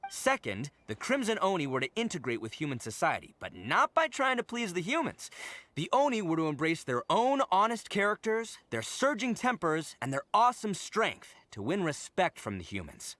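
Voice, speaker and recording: A man's voice: high voice, very animated, sharp tone, full character voice. A man voicing a proud, earnest oni gang leader in a video game, telling things dramatically with heavy emphasis. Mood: solemn, proud. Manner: dramatic, emphatic storytelling with sighs and drawn-out words. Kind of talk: animated video game voice acting.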